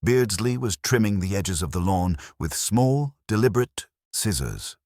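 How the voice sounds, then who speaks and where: A man narrating a scripted mystery story in a wry, deliberate, literary manner. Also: fairly low pitch, sing-song, a little mellow, dry recording, studio quality.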